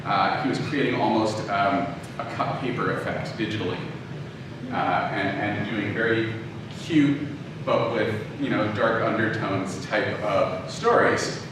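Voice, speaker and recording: A man giving an informal, conversational lecture, often halting and backtracking to rephrase as he tells personal anecdotes. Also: lowish voice. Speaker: lecturer, audio drama writer and producer. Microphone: distant from the speaker. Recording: very echoey room, distant mic, clearly noisy.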